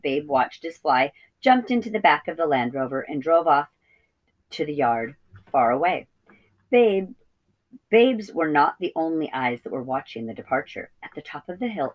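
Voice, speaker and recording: A woman reading a children's chapter book aloud in an expressive teacher's storytelling style. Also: low-pitched, lo-fi sound.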